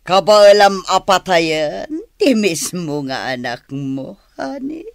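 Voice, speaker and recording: Scheming female lover, Ilocano accent, radio drama, slow drawn-out coaxing, swooping pitch, dry sound. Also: lively intonation, gravelly, no room sound, heavily acted. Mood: fawning. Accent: Northern Philippine (Ilocano). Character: sultry, scheming female lover of crime boss.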